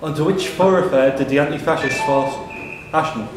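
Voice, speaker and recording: A man's voice: on the high side, moderate pace. A man acting as question master, reading out quiz questions and ruling on answers in a pub room. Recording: roomy pub recording, chatter around. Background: people talking in the pub.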